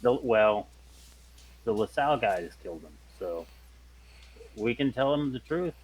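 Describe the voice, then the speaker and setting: A man's voice: mid-range voice, lively intonation, unhurried, a little hiss or hum, poor audio. A man in casual discussion as a player in a tabletop role-playing game.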